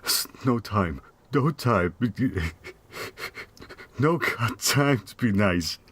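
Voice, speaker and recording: Male actor as haunted boozy cop; lowish voice, slow halting stammer, audio drama. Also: lively intonation, fairly bright tone, dry recording, heavily acted. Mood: frantic. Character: Steve, guilt-ridden, panicky, hard-drinking police detective.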